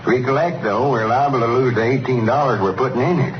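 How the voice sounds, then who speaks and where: An actor playing a scheming, self-important country storekeeper with a rural Southern US drawl in old-time radio comedy. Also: male voice, mid-range voice, fast, natural voice. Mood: persuasive. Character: scheming folksy rural storekeeper, man, pompous salesman. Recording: muffled, noisy vintage radio recording.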